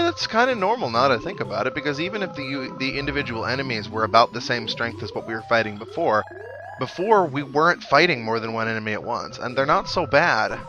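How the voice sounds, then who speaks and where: Wry man commentating over game music. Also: mid-range voice. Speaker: let's play host. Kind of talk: casual game commentary, reading dialogue aloud. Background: video game music.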